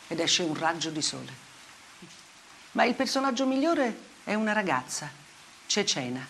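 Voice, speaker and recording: A woman journalist bearing grave witness in a radio theatre monologue. Her mid-pitched voice is deliberate and bitterly ironic, breaking into short phrases with weighted pauses. Music plays behind her. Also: a bit bright.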